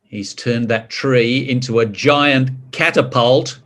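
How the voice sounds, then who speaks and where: A man reading a picture book to children, expressive, drawing out words and adding chatty asides and questions. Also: medium pitch, slow. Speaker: story reader.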